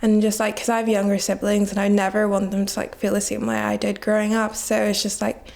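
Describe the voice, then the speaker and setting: A woman's voice: mid-range voice, flat delivery, brisk, creaky, darkish tone. A nineteen-year-old woman from Nigeria, reflecting in a casual interview and saying "like" a lot. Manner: heavy use of "like" as filler, reflective. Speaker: guest.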